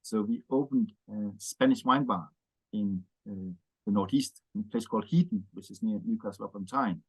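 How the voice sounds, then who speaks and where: A man's voice: on the low side, moderate pace, a little mellow, slightly rough, low-quality recording. Danish-accented man chatting with many fillers. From Denmark. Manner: rambling, many fillers and "yeah" tags. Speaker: interview guest, wine bar owner. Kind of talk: casual interview chat.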